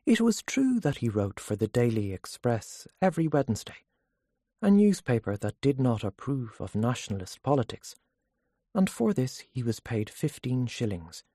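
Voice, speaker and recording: A storyteller reading scripted fiction as an audiobook narrator, in measured, carefully phrased sentences. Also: mid-range voice, sing-song, warm tone, no room sound, studio quality.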